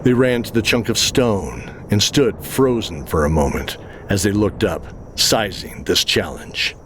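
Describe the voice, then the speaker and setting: A man's voice: fairly low pitch, lively intonation, unhurried, a bit bright, slight background noise. A man reading scripted narration for a fantasy adventure story.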